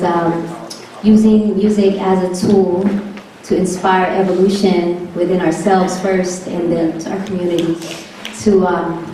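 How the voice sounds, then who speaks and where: A woman, a hip hop artist and writer, introducing herself earnestly and in a measured way at a live event. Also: medium pitch, dark tone, a little hiss or hum. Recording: echoey hall, mic far off.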